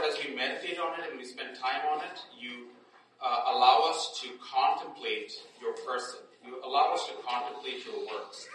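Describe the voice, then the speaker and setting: A man's voice: medium pitch, noisy background. A man leading a Bible study class, explaining casually with frequent "right?" checks and restarts, then praying aloud. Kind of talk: casual teaching, then spoken prayer. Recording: echoey room, distant mic, faint ambience.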